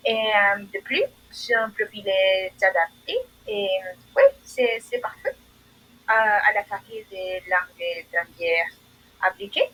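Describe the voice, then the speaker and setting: A woman, speaking as a jury member in a practice admission interview and delivering formal feedback haltingly, with many fillers. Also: medium pitch, sing-song, sharp tone, a little hiss or hum, low-quality recording. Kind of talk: role-played formal announcement.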